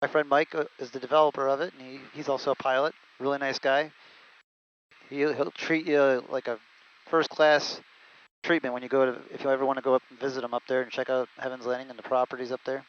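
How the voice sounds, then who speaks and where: Man, pilot, rapid casual talk, middle pitch, dull headset audio, jet cockpit. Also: level tone. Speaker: pilot, guest co-pilot. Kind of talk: casual chat, recounting flying career. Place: small jet cockpit in flight. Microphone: aviation headset.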